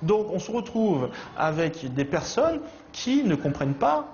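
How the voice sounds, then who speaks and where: Earnest teacher, lively high voice. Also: male voice, measured pace, slight background noise. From Réunion. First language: Réunion Creole. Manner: earnest, emphatic, passionate. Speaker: schoolteacher. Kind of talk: documentary interview. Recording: muffled with music behind.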